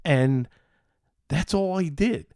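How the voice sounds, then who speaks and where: A middle-aged man from Philadelphia with an American accent, hosting a casual podcast in a halting, meandering, enthusiastic way. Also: a little high, animated, darkish tone, dry recording, clean studio sound. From Philadelphia, United States. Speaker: show host.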